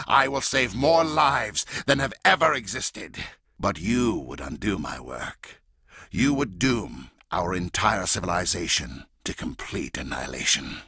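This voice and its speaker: A fanatical alien warlord in a video game, menacing and cold. His low, very husky voice has a sharp, forceful edge. He speaks deliberately and grandly, with intense swings of pitch. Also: no room sound, clean studio sound, heavily acted. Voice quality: gravelly, raspy. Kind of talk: dramatic video game voice acting.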